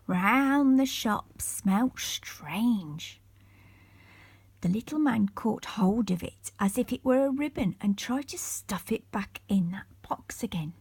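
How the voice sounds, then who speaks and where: Woman reading kids bedtime story, soft husky voice, takes it slow. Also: on the high side, no echo. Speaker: story reader. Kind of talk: bedtime story read-aloud.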